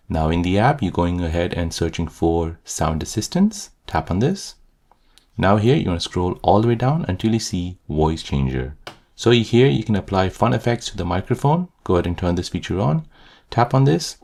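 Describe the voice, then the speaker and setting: A man's voice: lowish voice, creaky, darkish tone. A man hosting a tutorial, narrating scripted how-to instructions step by step. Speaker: tutorial host.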